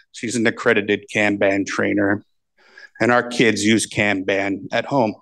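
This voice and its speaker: Guy presenting at a conference, talks real slow and measured into a handheld mic. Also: medium pitch, a bit bright. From United States. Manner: plain, measured, reads points out slowly for translation. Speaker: conference speaker. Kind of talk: slow, deliberate conference talk. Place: conference room with projector. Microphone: handheld microphone.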